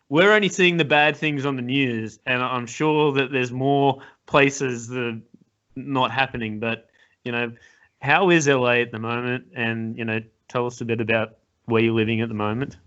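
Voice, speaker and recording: An Australian man in his thirties hosts a casual interview at a mid-range pitch. He speaks agreeably and feels his way through his phrases, close to a desk mic. The audio is slightly lo-fi. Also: steady tone, normal speed, a bit bright, smooth. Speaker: podcast host, interviewer. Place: home room with wooden cabinet. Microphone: large desktop microphone up close. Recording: slightly dull call audio.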